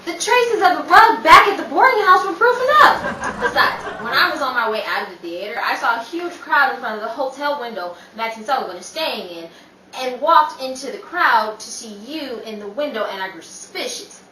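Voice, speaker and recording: A woman playing a sharp-tongued, exasperated, sarcastic show business woman in old time radio comedy style. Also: high-pitched, animated, brisk. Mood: dry sarcasm. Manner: sarcastic, scolding, wisecracking. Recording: roomy, distant mic, faint noise.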